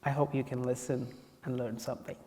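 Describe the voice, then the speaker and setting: A man's voice: mid-range voice, level tone, a little fast, dark tone, big-room sound. A man from Cameroon, a guest speaker telling his story to a campus audience, chatty and playful. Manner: chatty and playful, often laughing. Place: campus lecture hall.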